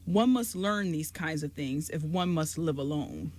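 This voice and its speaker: A woman playing a gentle, caring old male giant in an amateur radio drama. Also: low voice, animated, unhurried. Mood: caring, measured.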